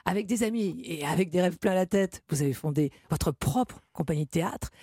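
Husky-voiced woman hosting radio. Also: fairly low pitch, brisk, no room sound, studio quality. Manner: polished, warm leading questions, stretched fillers. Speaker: radio host. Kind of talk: radio interview.

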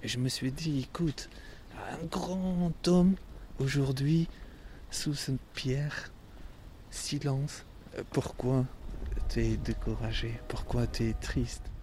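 Young man, French not his mother tongue, emotional and reflective, halting delivery. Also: mid-range voice, a little mellow, slight background noise. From Afghanistan. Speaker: interviewee. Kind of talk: personal testimony.

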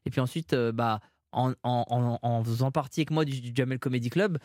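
A man's voice: medium pitch, steady tone, brisk, a little mellow, no room sound, studio quality. A man, a comedian and filmmaker, telling anecdotes in a casual interview. He talks animatedly, with frequent restarts and acted-out quotes. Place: studio with glass screens.

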